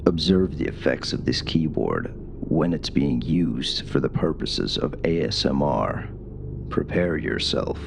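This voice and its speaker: A man playing a gruff, soft-spoken military commander who gives hushed, deliberate instructions in an ASMR radio-call roleplay. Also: extremely low pitch, big pitch swings, noisy background, heavily acted. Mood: grave, instructing. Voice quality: gravelly, hushed.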